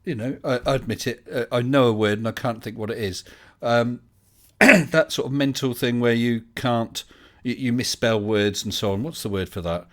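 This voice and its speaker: This is a man hosting a live online chat stream. He talks bluntly and mockingly, and he laughs a lot. His pitch sits in an ordinary middle range. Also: moderate pace. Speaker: host.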